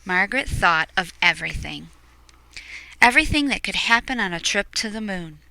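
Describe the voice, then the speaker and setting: A woman reading a picture book aloud expressively, explaining things to young listeners in hushed asides. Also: mid-range voice, lively intonation, normal speed, gravelly, a little hiss or hum, rough recording.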